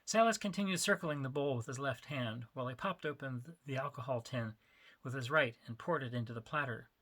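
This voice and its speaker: A man narrating his own story as an author, in an expressive, emphatic dramatic reading style. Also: medium pitch, fairly quick, breathy edge.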